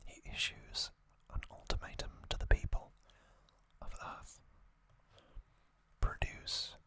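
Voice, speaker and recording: ASMR host, whispered, breathy, very slow, deliberate pauses, bright hushed tone. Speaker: host of an ASMR trivia channel. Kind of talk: ASMR, whispered reading and commentary.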